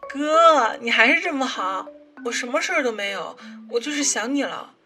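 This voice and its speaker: A woman's voice: on the high side, animated, normal speed, pushed and bright. A woman voicing a clingy, scheming young man, a whiny and coaxing ex-boyfriend, in a Chinese audio drama. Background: background music.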